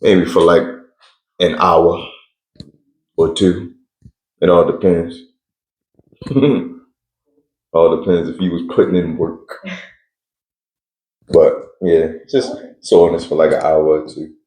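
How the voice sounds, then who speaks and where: A man's voice: deep voice, steady tone, takes it slowly, long pauses, a little mellow, roomy sound, low-quality recording. A young man answering interview questions in a laid-back, blunt way with slang and frequent laughs. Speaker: interview guest.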